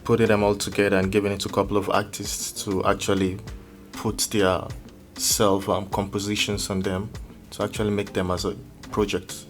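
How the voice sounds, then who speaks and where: A low-voiced man speaks casually as an interviewed music producer. He pauses to search for words and takes a roundabout way through his explanations. Faint music plays behind him. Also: monotone.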